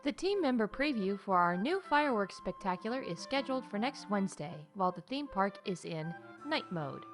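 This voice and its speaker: A woman reading scripted comedic announcements in a playful, mock-official deadpan as the announcer of a news show. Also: fairly high pitch. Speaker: announcer of a fictional theme park news show.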